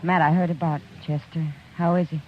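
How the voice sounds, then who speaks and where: A woman's voice: deep voice, flat delivery, a little fast, noisy background, lightly acted. A woman acting a frank, loyal saloon woman in a 1950s western radio drama, direct and earnest in her delivery. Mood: concerned. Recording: dull old radio recording with music.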